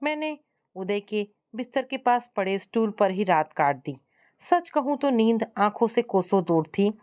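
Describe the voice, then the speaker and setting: A woman's voice: medium pitch, lively intonation, measured pace, darkish tone. Woman narrating a scripted domestic story.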